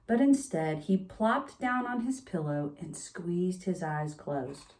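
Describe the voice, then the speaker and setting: A woman's voice: lowish voice, animated, slow pace, noisy background. A woman storyteller reading a children's picture book aloud at bedtime, expressively, with chatty asides.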